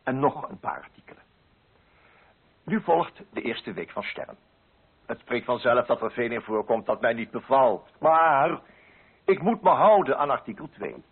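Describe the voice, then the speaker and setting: An actor playing a pompous, prudish middle-aged coffee broker with a Netherlands Dutch accent in a radio play monologue. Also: male voice, mid-range voice, very animated, unhurried, full character voice. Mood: scheming, smug. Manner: pompous, fussy, self-righteous. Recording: husky, narrow-band old radio recording.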